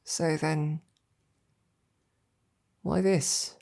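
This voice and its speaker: Woman doing a royal knight gal, low crackly voice, self-doubting, soft-hearted and informal, intimate roleplay audio. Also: fairly even intonation, vocal fry, heavily acted. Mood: curious, gentle. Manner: hesitant, halting, informal and tender. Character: devoted, self-doubting female royal knight courting a dragon princess.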